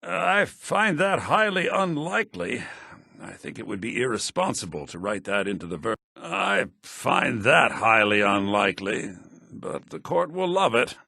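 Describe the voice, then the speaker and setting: An actor playing a scholarly, theatrical headmaster of a bards' college in a fantasy video game, speaking in a formal, declamatory manner. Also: male voice, mid-range voice, big pitch swings, unhurried, creaky, pushed and bright, breathy edge, no echo, studio quality, exaggerated voice. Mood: thoughtful. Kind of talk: fantasy video game character dialogue, with verse recitation.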